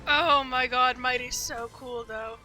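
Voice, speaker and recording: A woman live-streaming a game with casual, excitable, gushing commentary. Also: high voice, unhurried, rough recording. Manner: excitable, gushing fan talk. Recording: game music behind the voice. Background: video game music.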